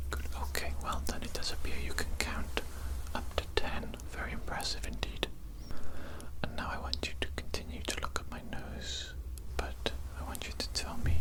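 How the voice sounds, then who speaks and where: A woman voicing a politely sinister, calm evil doctor in a breathy, measured ASMR roleplay whisper. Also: close to an everyday voice, rough recording. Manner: measured, polite, repetitive soft reassurance. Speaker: ASMR performer.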